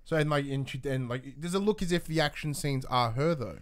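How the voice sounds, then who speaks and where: A man's voice: medium pitch, brisk. A man co-hosting a podcast, chatting casually with wry, colloquial questions and interjections. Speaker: podcast co-host.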